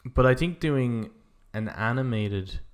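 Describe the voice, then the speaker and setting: A man's voice: medium pitch, lively intonation, takes it slowly. A man co-hosting a podcast, rambling and thinking aloud in casual, opinionated chat, with some casual swearing. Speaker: podcast co-host. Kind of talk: casual opinionated chat about films and games.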